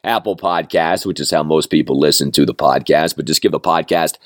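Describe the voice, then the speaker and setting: A man hosting a sports podcast with a hoarse, fading voice from a cold, running through topics in a plainspoken, self-deprecating way. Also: a bass voice, brisk, dry recording, studio quality. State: hoarse, losing his voice from a cold. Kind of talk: solo sports podcast talk.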